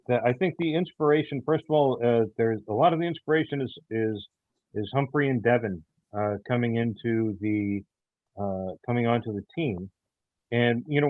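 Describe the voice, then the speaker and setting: A man answering interview questions as a writer, explaining himself in a halting way with many pauses. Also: mid-range voice, no echo, phone-quality sound. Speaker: audio theater writer and creator.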